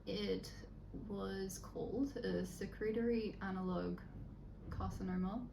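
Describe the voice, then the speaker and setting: A woman, a guest in a casual interview. She talks in a relaxed, frank way with many fillers. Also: mid-range voice, takes it slowly, gravelly. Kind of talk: casual chat. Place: indoor room during a makeup session. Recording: echoey room, faint background hiss.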